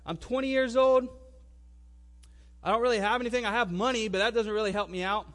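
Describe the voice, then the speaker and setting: A man's voice: high-pitched, fairly even intonation, fairly quick, a bit bright. A man in his twenties telling his personal testimony in a colloquial, conversational way, acting out remembered conversations as he goes. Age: 20s. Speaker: speaker giving a personal testimony. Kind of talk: personal testimony storytelling to an audience.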